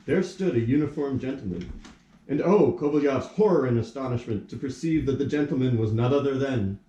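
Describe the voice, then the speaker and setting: A man's voice: mid-range voice, a little fast, dark tone, lo-fi sound. A man reading scripted storybook narration for a puppet play. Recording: roomy echo, faint noise.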